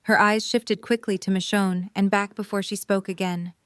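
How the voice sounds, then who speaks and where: A woman's voice: mid-range voice, fairly even intonation, moderate pace, dry recording, clean studio sound. A woman narrating a scripted story as the narrator of a fan audio drama. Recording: light noise and hum.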